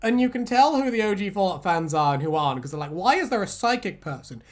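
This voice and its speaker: A woman's voice: medium pitch, animated, fast, soft background music. A woman co-hosting a video, chatting casually as a fan in a jokey, giggly, sweary way. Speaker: video co-host. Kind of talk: casual fan chat and commentary.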